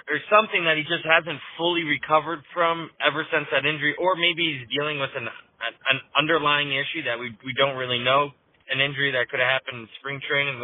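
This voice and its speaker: A male caller on a narrow phone voicemail line, his voice a bit on the high side. He talks casually and haltingly, repeating words as he forms his opinion. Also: dry recording.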